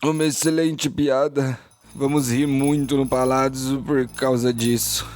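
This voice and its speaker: A man's voice: medium pitch, level tone, measured pace, darkish tone, soft background music, natural voice. A man voicing a drunken nobleman walled up alive, sad and disoriented, feigning mirth in a gothic horror story. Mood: desperate false mirth.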